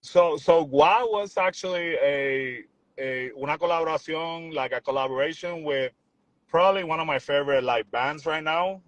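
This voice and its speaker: A young man from Puerto Rico, talking in a relaxed, rambling way as a musician guest on a video call. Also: a little high, normal speed, dry recording, rough recording. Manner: relaxed, rambling, repeats words, says "you know what I'm saying". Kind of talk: casual interview chat.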